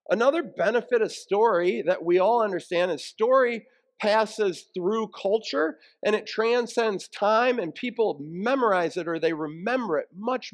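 A male pastor with a high-pitched voice delivers a teaching sermon. He explains in plain, conversational language, as if walking listeners through each point. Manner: conversational, plain-spoken explaining with rhetorical questions. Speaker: pastor preaching to a congregation.

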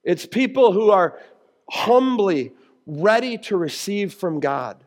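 An American man delivers a sermon in a noticeably high-pitched voice. He sounds like a pastor explaining scripture, earnest and conversational, putting emphasis on his key phrases. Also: very animated, takes it slowly. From United States. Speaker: pastor preaching.

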